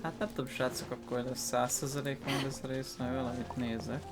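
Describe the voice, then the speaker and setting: A man's voice: mid-range voice, little pitch movement, brisk. A man hosting a gaming video, giving informal, grumbling commentary as he plays. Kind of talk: casual gameplay commentary. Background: game music.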